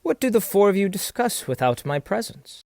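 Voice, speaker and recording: A man's voice: sits high, very animated, moderate pace, creaky, darkish tone, no echo, studio quality, heavily acted. A man playing a proud, volatile sea captain in an audio drama, indignant and theatrical in formal period diction. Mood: indignant, defiant. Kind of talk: stage-play acting in an audio drama.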